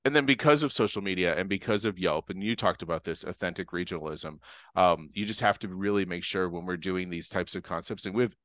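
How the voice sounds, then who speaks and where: A man's voice: low-pitched, fairly quick, a bit bright, dry recording. A man from Boston, a chef guest talking casually and enthusiastically, rambling with restarts and fillers. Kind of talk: casual interview chat.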